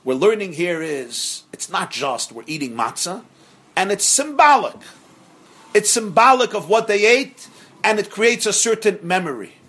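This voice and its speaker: A rabbi teaching a class on a religious text, earnest and emphatic, who repeats phrases for stress and sprinkles in Hebrew and Yiddish terms. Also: male voice, high-pitched, big pitch swings, measured pace, pushed and bright, slightly rough. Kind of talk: explaining a religious text to students.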